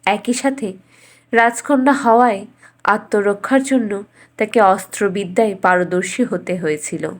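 A woman narrating a scripted bedtime fairy tale as a storyteller, in a measured, expressive way. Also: mid-range voice.

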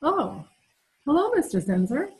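Melodramatic wife female actor, animated and expressive, genteel but put-upon, for vintage radio comedy. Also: high-pitched, a little slow, full character voice. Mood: eager. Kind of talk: amateur old-time radio comedy recreation. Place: room at home, video call. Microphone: video call.

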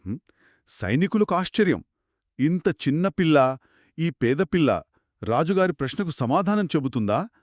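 Animated male storyteller, close dry sound. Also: on the high side, moderate pace, long pauses, dry recording. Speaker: co-narrator of a children's moral story. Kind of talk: conversational two-person storytelling.

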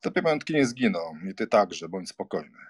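A man's voice: fairly low pitch, sing-song, normal speed, breathy edge, no echo, clean studio sound. A man playing a nonchalant, teasing young baron, a jaunty friend, in an audiobook drama.

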